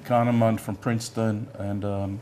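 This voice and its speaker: A man's voice: on the low side, little pitch movement, moderate pace, a little mellow, faint music behind. An older man, a professor whose first language is Yiddish, giving a conference lecture in a conversational, blunt way, often stopping to search for words and repeating himself.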